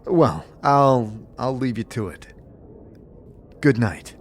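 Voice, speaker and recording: A man in a fantasy roleplay, moving between narration and dialogue in a halting, tentative manner. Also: mid-range voice, lively intonation. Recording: faint background noise.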